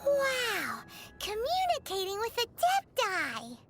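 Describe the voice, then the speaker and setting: A woman voicing a tiny, excitable, childlike fairy companion, chirpy and eager, in a video game. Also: very high-pitched, takes it slowly, faint music behind, exaggerated voice. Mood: awestruck. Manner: chirpy, refers to herself in third person. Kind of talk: video game character voice lines.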